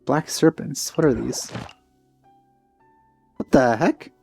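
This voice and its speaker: A man's voice: medium pitch, takes it slowly, vocal fry, a little mellow. A man giving casual let's play commentary, informal and musing to himself as he goes. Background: faint game music.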